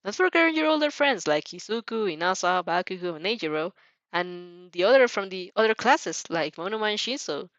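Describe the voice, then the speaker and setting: A woman narrates a story in an informal, giggly way, prone to stepping out of it with comments. Her medium-pitched voice is recorded dry and slightly dull. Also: expressive, fairly quick, fairly bright tone, no room sound. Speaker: fan fiction reader and dubber.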